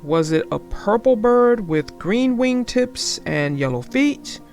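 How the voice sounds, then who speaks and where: A woman's voice: a little high, lively intonation, slow pace, a little mellow, faint music behind. A woman voicing a puzzled, good-natured father bear in a storybook, bemused and questioning. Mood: confused.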